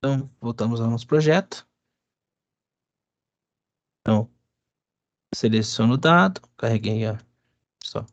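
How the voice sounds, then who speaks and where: Stuffy-nosed man teaching, halting speech. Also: mid-range voice, animated, dry recording. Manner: halting, many restarts and fillers. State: stuffy, a bit of a cold. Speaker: instructor. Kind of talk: live coding lesson. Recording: dry, dull lo-fi sound.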